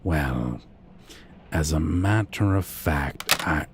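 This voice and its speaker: A man's voice: a bass voice, expressive, extremely slow, a little hiss or hum, full character voice. A man playing a haughty, sadistic vampire captor in an ASMR roleplay, with a drawling, condescending, menacing and archly formal manner. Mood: smug menace.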